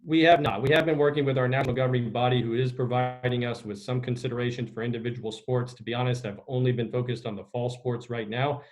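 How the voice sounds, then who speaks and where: A man speaking as an executive director answering media questions on a conference call, in a measured, formal, official way. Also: mid-range voice, steady tone. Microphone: video conference call.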